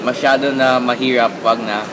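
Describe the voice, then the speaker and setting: A man's voice: medium pitch, steady tone, normal speed, close to an everyday voice. Overwhelmed male student played by a man, noisy recording. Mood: weary, defeated. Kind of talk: scripted amateur student radio drama.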